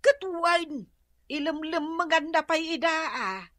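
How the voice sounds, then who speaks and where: A woman's voice: very high-pitched, animated, slow pace, fairly bright tone, no room sound, clean studio sound, full character voice. An actress playing a gossipy, boastful neighborhood woman with an Ilocano accent, exclaiming and confiding in a radio comedy drama. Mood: gossiping.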